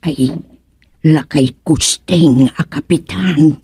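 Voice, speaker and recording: A man doing a breathy, worried villager. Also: fairly high pitch, slow pace, mellow, dry recording, exaggerated voice. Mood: urgent. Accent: Ilocano.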